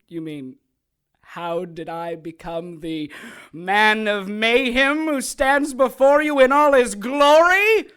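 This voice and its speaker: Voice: mid-range voice, takes it slowly, a bit bright, clear, resonant tone. An actor playing a sadistic sorcerer and former soldier in an audio drama, taunting and theatrical, with gleeful menace. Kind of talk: audio drama acting, storytelling monologue.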